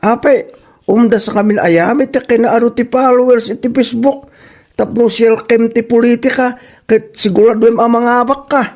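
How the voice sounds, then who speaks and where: A man's voice: extremely high pitch, steady tone, moderate pace, darkish tone. A man with an Ilocano accent playing a blunt, teasing village friend in a comedy drama.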